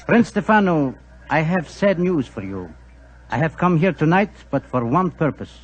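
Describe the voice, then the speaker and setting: An actor playing a solemn, formal police minister in a 1940s radio drama. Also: male voice, a little high, big pitch swings, moderate pace, slightly rough. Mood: grave.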